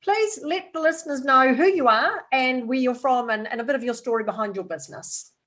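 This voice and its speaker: A woman's voice: high-pitched, fairly quick, a bit bright. A woman hosting a podcast interview over a call, upbeat and encouraging. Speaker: podcast host. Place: remote call.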